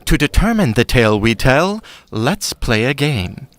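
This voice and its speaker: A man playing a sly, mocking trickster in an audio drama, speaking in a theatrical, deliberate way. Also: medium pitch, sing-song, fairly bright tone. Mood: courtly, sly. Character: sly, mocking brother of a witch, scheming trickster.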